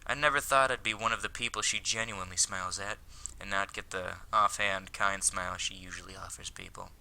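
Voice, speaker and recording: A man voicing an earnest, lovestruck, self-conscious high school boy from an anime visual novel, in a wordy, dramatic read-aloud delivery. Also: fairly even intonation, fairly quick, creaky, sharp tone, full character voice. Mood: adoring.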